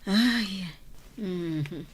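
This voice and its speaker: A woman's voice: lowish voice, drawn-out words, husky, no room sound, heavily acted. A woman acting a kindly, ailing middle-aged village mother in a radio drama. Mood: weary, indulgent.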